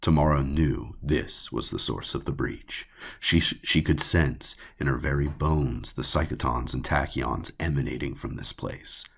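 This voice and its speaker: A man narrating a dark audiobook tale in a gravelly, raspy voice, brooding and measured, with deliberate pauses. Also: rumbling low. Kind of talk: dramatic scripted horror narration.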